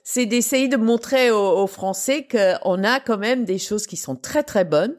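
A woman's voice: fairly high pitch, lively intonation, a little slow, a little mellow. A British woman hosting a podcast interview in French, chatty and upbeat, laughing often. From Britain. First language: English. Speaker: podcast host.

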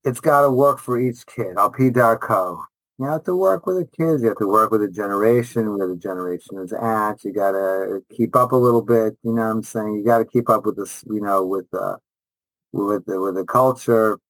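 A man's voice: fairly low pitch, normal speed, a bit bright, no room sound, rough recording. A middle-aged man, a rabbi and teacher answering interview questions conversationally, enthusiastic and emphatic, repeating phrases and often restarting.

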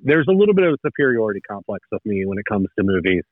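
A man's voice: fairly low pitch, big pitch swings, rapid-fire, no echo. A man in his thirties chatting casually, rambling and nostalgic, often restarting his sentences. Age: 30s. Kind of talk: casual chat. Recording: tinny phone line.

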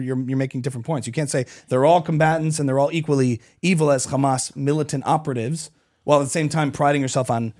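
A man in an opinionated, animated conversation, arguing emphatically and piling clause upon clause. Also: mid-range voice. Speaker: podcast guest.

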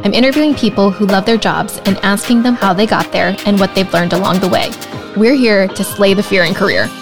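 A woman's voice: medium pitch, brisk, music in the background. A woman hosting a career podcast, reading an upbeat scripted intro and then asking casual interview questions. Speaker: podcast host.

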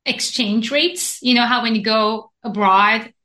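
A woman in her thirties, from Iran, telling her story as a podcast guest in an animated way. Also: fairly high pitch. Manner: animated storytelling, quick self-corrections. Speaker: guest, investing educator. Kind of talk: casual podcast interview.

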